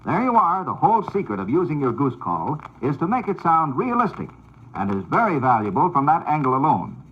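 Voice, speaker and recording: A man giving scripted, formal instructional narration on an old 78rpm record, in a measured, announcer-like delivery. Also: on the high side, wildly swooping pitch, slightly rough, lo-fi sound.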